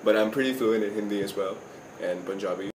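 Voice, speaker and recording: A young Indian student talks in a relaxed, even way. His low voice barely moves in pitch. It rings in an echoey room over clear background noise. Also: a little fast. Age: young adult. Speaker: university student. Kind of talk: interview answer.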